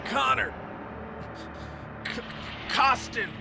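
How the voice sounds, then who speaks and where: An actor playing a desperate, hot-tempered soldier in an audio drama, urgent and exclaiming. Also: male voice, extremely high pitch, very slow, creaky, noisy background, heavily acted. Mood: alarmed, urgent.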